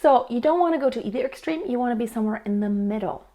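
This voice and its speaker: A woman's voice: on the high side, lively intonation, quick pace, very noisy. A woman teaching singing, explaining technique in a friendly, patient way and answering a viewer's question. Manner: friendly, explanatory, with sung demonstrations. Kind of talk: vocal coaching tips to camera.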